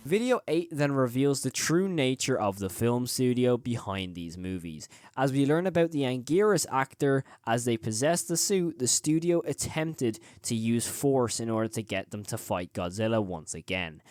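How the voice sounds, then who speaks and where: A man's voice: medium pitch, lively intonation, normal speed, hardly any pauses, darkish tone, no room sound, studio quality. A man presenting a scripted video essay as the host of a horror review channel.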